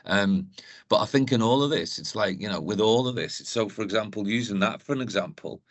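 A man's voice: lowish voice, fairly quick, no echo. A middle-aged man from Liverpool with a Liverpool accent, chatting as an interview guest, rambling with many restarts and "you know". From Liverpool, England. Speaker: guest, council events advisor. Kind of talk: casual interview chat.

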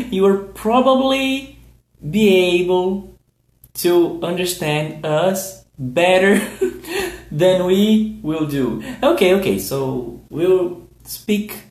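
A man from Brazil with a Brazilian Portuguese accent, a podcast host teaching English on a livestream, speaking very deliberately and repeating words for learners to echo. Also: high-pitched. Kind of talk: slow teaching talk, drilling phrases for learners. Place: recorded on a livestream.

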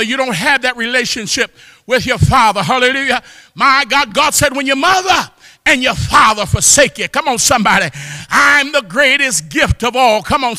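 A man preaching a fervent church sermon, exhorting with repeated exclamations of praise and often rising into shouts. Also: very high-pitched. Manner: impassioned, often breaking into shouts. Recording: long hall reverb.

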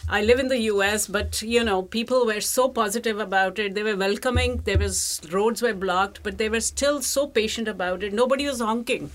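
A woman's voice: medium pitch, normal speed, hardly any pauses. A woman from India with an Indian accent, speaking casually and enthusiastically as an interview guest, with plenty of emphasis. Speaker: interview guest, travel professional. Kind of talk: casual conversational storytelling.

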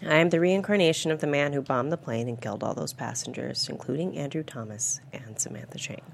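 A woman playing a calm, ominous mystery woman in a thriller script read, measured and gravelly. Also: low-pitched, a little hiss or hum. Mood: cool, confessional. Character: calm, sinister mysterious woman obsessed with destiny. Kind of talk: table read of a thriller screenplay.

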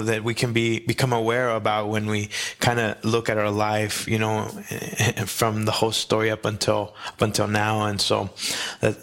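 A man's voice: fairly low pitch, a bit bright. A man hosting an interview, affirming and reflective, with many fillers. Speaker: interviewer, podcast host. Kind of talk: conversational interview.

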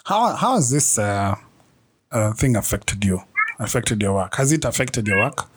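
Kenyan guy hosting a podcast, husky voice, jokey and teasing, middle-aged. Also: fairly low pitch, lively intonation, moderate pace. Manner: joking, teasing banter with frequent laughter. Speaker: podcast host. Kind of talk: casual conversational interview.

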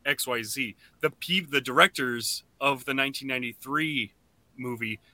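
A man co-hosting a podcast in casual chat, often halting and restarting his thoughts. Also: medium pitch, sharp tone, no echo, poor audio. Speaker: podcast co-host.

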